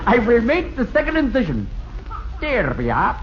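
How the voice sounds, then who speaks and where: High-pitched zany quack surgeon. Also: male voice, wildly swooping pitch, moderate pace, full character voice. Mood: mock-pompous. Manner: theatrical, mock-pompous, old-fashioned inverted phrasing. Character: zany, quack surgeon, boastful and cheerfully incompetent. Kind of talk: 1950s radio comedy acting. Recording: noisy, muffled 1950s radio recording.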